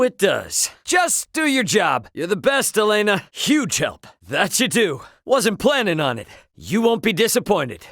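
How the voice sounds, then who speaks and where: An actor with an American accent playing a brash, easygoing spacefaring hero in a video game, with a cocky, slangy, joking delivery. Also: male voice, high voice, pushed and bright, no room sound, studio quality, heavily acted. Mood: teasing, upbeat. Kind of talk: video game voice lines, battle barks and chatter.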